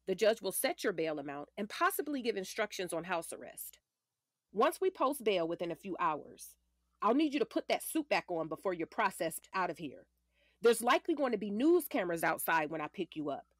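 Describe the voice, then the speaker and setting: A woman's voice: lowish voice, big pitch swings, normal speed, dry recording, studio quality. A woman playing a confident, matter-of-fact lawyer in her late forties in an audio drama, firm, precise and commanding. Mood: instructive.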